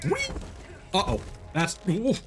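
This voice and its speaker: A man livestreaming a game with a very animated, swooping voice and an unhurried pace. He chats casually and playfully, tossing in exclamations and vocal sound effects, with music playing behind him. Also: high voice. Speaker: livestream gamer, host. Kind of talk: casual live gameplay commentary.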